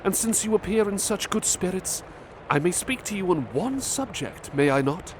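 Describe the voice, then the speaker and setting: Worried young friend pleading high and strained as a man puts him on, street hum under it. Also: lively intonation, normal speed. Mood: gentle. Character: young male friend, anxious and caring.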